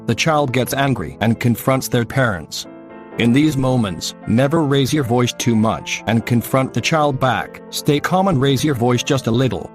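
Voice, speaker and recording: A man playing a solemn television news anchor, reading a scripted report in a measured, explanatory way. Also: medium pitch, close to an everyday voice.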